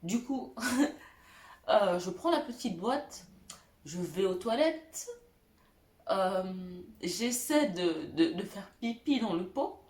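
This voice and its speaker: A young woman telling funny childhood stories to her viewers, self-mocking, giggling and pausing on fillers. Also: mid-range voice, expressive, darkish tone, echoey room. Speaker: YouTuber. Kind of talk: storytelling vlog.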